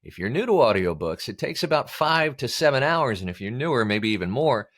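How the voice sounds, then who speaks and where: A man's voice: medium pitch, very animated, brisk, fairly bright tone. A man giving scripted advice as a coach, in an emphatic, blunt, colloquial manner. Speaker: voice-over coach hosting an advice show. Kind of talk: scripted instructional talk to camera.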